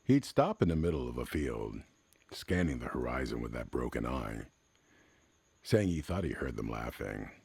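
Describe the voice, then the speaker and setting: Very deep, gravelly male storyteller. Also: big pitch swings, a little mellow, dry recording. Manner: somber, measured, dramatic pauses in short phrases. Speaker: storyteller, narrator. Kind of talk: slow cinematic scripted narration, classic radio drama style.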